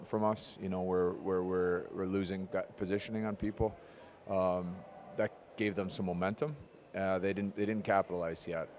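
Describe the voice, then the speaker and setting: Low, flat-voiced head coach. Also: male voice, slight background noise. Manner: measured, analytical, many ums. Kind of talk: postgame press interview. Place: arena corridor, indoors. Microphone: handheld interviewer mic.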